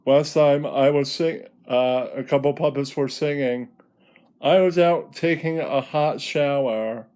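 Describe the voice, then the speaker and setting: Flamboyant male puppet entertainer, played by a man: slow, mellow delivery, preening, teasing, foul-mouthed. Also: mid-range voice, fairly even intonation, natural voice. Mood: sassy, preening. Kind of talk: puppet show comedy monologue.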